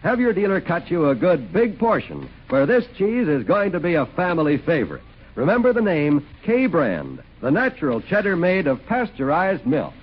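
High, lively American radio announcer man. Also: moderate pace, a little hiss or hum. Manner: polished, salesmanlike. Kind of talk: 1940s radio announcing and ad reading. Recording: muffled 1940s radio broadcast, faint music.

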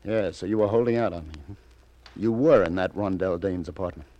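An actor playing a hard-boiled New York police detective in a 1950s radio crime drama, laconic, folksy and probing. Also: male voice, low voice, a little fast, dull audio, close to an everyday voice. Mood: dry, probing.

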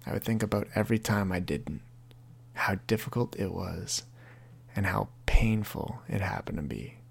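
A man's voice: on the low side, moderate pace, creaky, breathy edge. A man in his twenties hosting a podcast, talking earnestly and confessionally and reading from his own book. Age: 20s. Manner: earnest, confessional, heavy language. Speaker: podcast host.

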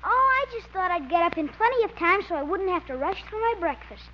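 A woman's voice: very high-pitched, big pitch swings, fairly quick. A woman playing an eager, over-polite little schoolboy in a 1950s radio comedy, speaking with sweet earnestness. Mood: angelically polite. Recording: noisy, muffled old radio recording.

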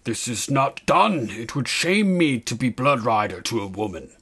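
A man's voice: mid-range voice, animated, moderate pace, a bit bright, breathy edge, pitched above the performer's own voice. A man voicing proud, reluctant young male warriors in an audiobook, formal and firm. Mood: hesitant, confused. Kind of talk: audiobook character acting.

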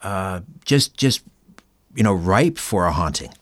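Man, interview host, low pitch, dry close sound, halting deliberate phrasing, conversational. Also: lively intonation, no echo.

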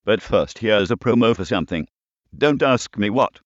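A synthetic male voice as a snide robot announcer, giving a scripted podcast introduction in a stilted, deadpan way. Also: lowish voice, moderate pace, no echo, dull audio. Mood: deadpan snark.

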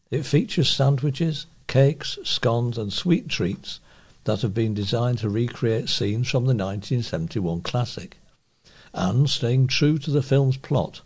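Husky man reading local news. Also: medium pitch, dark tone. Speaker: volunteer newsreader for a talking newspaper.

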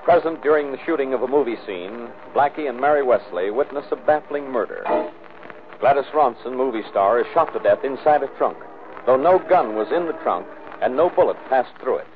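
A male announcer narrates a 1940s radio mystery in a measured, dramatic way. His voice is mid-pitched and comes through a narrow, thin recording. Loud music plays behind him. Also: expressive.

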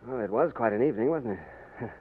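An actor playing an easygoing young English gentleman drawn into posing as a king, light and self-mocking, in 1940s radio acting. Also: medium pitch, expressive, fast talker, breathy edge. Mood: amused. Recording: tinny old radio broadcast with faint hiss.

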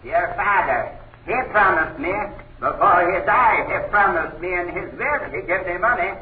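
An actor playing a bitter, lovesick gardener in a 1930s radio horror play, with halting, insistent, simple speech. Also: male voice, high voice, narrow, tinny audio, close to an everyday voice. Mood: bitter, pleading. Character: lovesick, bitter, menacing male gardener, an undead apparition. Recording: thin, noisy 1930s radio recording, echoey.

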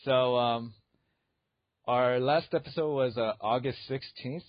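A man's voice: mid-range voice, measured pace, no room sound, highs cut off. A man in his twenties co-hosting a podcast in casual, teasing chat. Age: 20s. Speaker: podcast co-host.

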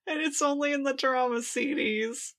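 Bright, high-voiced giggly young woman. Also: normal speed. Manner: giggly, excitable, swears freely. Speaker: podcast co-host. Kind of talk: casual fan discussion of audio dramas.

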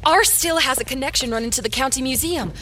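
A woman's voice: high voice, quick pace, pushed and bright. A woman playing a caring, practical small-town librarian in an audio drama, helpful and coaxing. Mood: concerned, eager. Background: music under the dialogue.

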